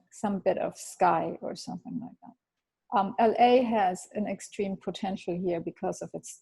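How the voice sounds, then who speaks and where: Interviewee in her fifties; husky, halting, restarts a lot. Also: mid-range voice, dark tone. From Germany. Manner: hesitant, many fillers and restarts. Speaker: interviewee, architect. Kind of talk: thoughtful interview answers.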